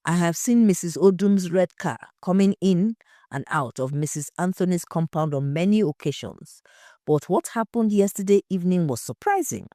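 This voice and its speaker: A woman's voice: on the low side, dark tone, no room sound, studio quality, close to an everyday voice. Woman reading as a solemn male eyewitness. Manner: deliberate, announcing. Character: solemn male eyewitness neighbour testifying on camera.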